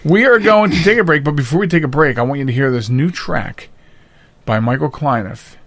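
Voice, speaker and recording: A man's voice: a little high, brisk, fairly bright tone, dry recording. A man with a Philadelphia-area accent hosting a podcast in casual, teasing, jokey chat. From Delaware County, Pennsylvania, United States. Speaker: podcast host.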